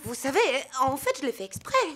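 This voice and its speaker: A woman's voice: high voice, big pitch swings, fairly quick, noisy background. A woman voicing a superstitious, dramatic young female animal in a children's cartoon, speaking emphatically. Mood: flustered. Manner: emphatic, dramatic, given to gloomy warnings. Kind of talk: children's cartoon dub acting. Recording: music under the voice.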